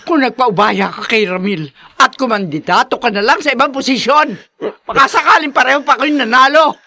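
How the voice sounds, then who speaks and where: A woman's voice: sits high, a little fast, pushed and bright, no room sound, heavily acted. A woman acting in a radio drama as a distraught, scolding middle-aged mother, pleading and emotional.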